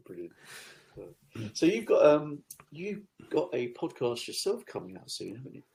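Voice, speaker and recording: A man hosting a podcast interview, agreeing keenly and thinking out loud. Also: on the high side, big pitch swings, normal speed, gravelly, low-quality recording. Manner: enthusiastic, agreeable, thinks aloud. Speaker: podcast host. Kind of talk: casual interview chat.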